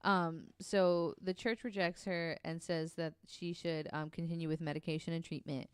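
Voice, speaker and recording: A woman's voice: on the low side, level tone, moderate pace, smooth, no room sound, studio quality. Woman telling a story on a podcast. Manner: casual storytelling with frequent ums and offhand swearing. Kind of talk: casual podcast chat.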